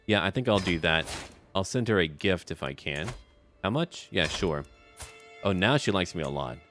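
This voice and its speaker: A man hosting a casual roleplay gaming video, commenting in a chatty, offhand way as he addresses the game's characters. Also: low-pitched.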